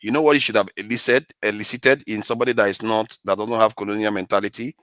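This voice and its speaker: Male commentator, bright and slightly low voice, deliberate, insistent debating. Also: steady tone, no room sound. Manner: deliberate point-by-point delivery, insistent. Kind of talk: argumentative debate rebuttal.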